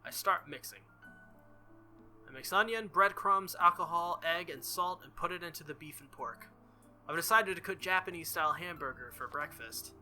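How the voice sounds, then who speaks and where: A man doing animated, exclamatory playthrough commentary while reading a visual novel aloud. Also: fairly high pitch, moderate pace, soft background music.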